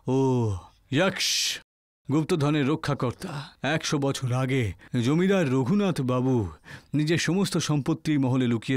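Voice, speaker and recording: A man's voice: mid-range voice, no room sound, clean studio sound, voice pushed down, lightly acted. A man voicing a grave local village guide, solemn and ominous, in an acted horror story. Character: grave male local village guide telling cursed-treasure lore.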